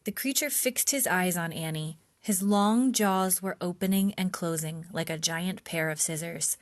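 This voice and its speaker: A woman reading a children's chapter book aloud in an expressive storytime style. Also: on the low side. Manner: slow, clear, animated storybook delivery.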